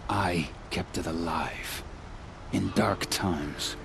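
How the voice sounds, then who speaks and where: Deep slow dying hunter guy, weak labored breath, arrogant and bitter, game death scene. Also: gravelly, a bit bright, a little hiss or hum, exaggerated voice. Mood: bitter, fading. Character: dying, arrogant, bitter male hunting champion.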